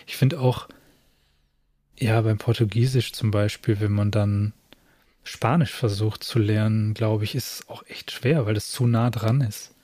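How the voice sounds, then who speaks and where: Low dark-voiced man, musing casually. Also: steady tone, a little slow, dry recording. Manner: thoughtful, searching for words. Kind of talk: casual chat.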